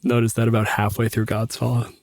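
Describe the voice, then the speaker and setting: Male game master for casual podcast talk. Dry, close sound, mid-range pitch, blunt, teasing and foul-mouthed. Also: completely flat delivery, fairly quick, gravelly, darkish tone, dry recording.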